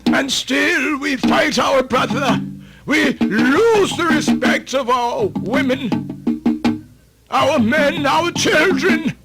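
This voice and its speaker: An aged folktale storyteller acted by a man speaks slowly in a very high, gravelly voice over prominent music. He is weary but proud and defiant, folksy and solemn, drawing out his words like an orator in radio theatre. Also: exaggerated voice. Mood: solemn, prophetic. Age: older. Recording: music playing under the voice.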